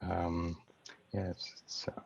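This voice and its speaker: A man chairing an informal online club meeting in a halting, hesitant way over muffled video call audio. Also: sits low, completely flat delivery, creaky, slightly rough.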